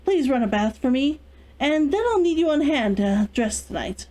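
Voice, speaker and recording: Woman reader as polite but demanding young lady of the house, storybook, soft-toned, lightly lilting. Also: high voice, big pitch swings, moderate pace. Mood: composed, commanding. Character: composed, demanding young noblewoman on eve of adulthood.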